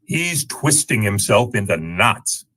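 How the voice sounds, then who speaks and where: A man's voice: fairly low pitch, big pitch swings, slow, pushed and bright, heavily acted. A man acting as an old-time radio comedy announcer who reads sponsor ads in 1940s style. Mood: wry, showman-like.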